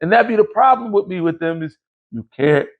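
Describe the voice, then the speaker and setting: A man's voice: sits high, expressive, brisk, phone-quality sound. A man in his late forties hosting a podcast, telling a long, rambling, profane story in casual chat. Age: 40s. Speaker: podcast host.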